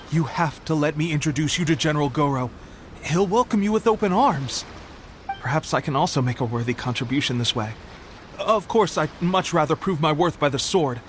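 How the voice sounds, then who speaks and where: A man's voice: a little high, fairly bright tone, slight background noise. A young man voicing an eager, humble resistance foot soldier in a video game, earnest, polite and slightly hesitant. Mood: amazed, eager. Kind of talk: video game character dialogue. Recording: studio voice under game music. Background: game music.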